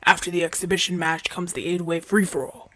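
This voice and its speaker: A man's voice: high-pitched, little pitch movement, a little fast, fairly bright tone, no room sound. A man playing a proud, impatient, flirtatious champion gladiator in pain, brusque and grumbling, in an ASMR audio roleplay. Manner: brusque, demanding, grumbling, sighing through pain.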